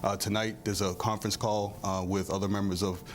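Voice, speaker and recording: Deep, flat-voiced man speaking earnestly. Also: a little fast, slight background noise. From Massachusetts. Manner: earnest, with many fillers. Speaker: panel guest. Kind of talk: talk show interview.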